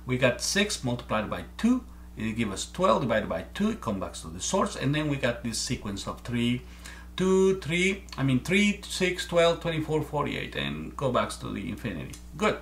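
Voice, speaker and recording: A man's voice: medium pitch, sing-song, normal speed, slight background noise. Earnest man with a Mexican accent. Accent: Mexican Spanish. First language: Spanish. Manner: earnest, repeats words while thinking. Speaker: amateur math presenter. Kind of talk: explaining step by step to camera. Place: small room at home, indoors.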